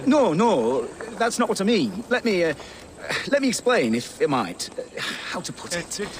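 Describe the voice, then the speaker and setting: An actor playing an earnest, scholarly monk in a video game, hesitant, pedantic and easily flustered. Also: male voice, high-pitched, very animated, a little slow, slight background noise, exaggerated voice. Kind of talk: video game cutscene acting.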